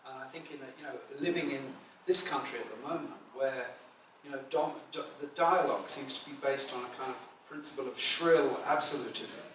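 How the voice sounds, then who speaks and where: A man's voice: medium pitch, brisk, hardly any pauses, fairly bright tone. A man chairing a panel discussion, speaking in a reflective, thoughtful way. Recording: echoey room, far from mic, background noise.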